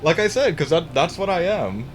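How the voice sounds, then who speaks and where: A man's voice: on the high side, sing-song, normal speed. Young guy on a game stream, rambles and cusses a lot, hissy noisy mic. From United States. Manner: rambling, swears casually, restarts often. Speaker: streamer playing a building game. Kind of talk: casual gaming stream chat.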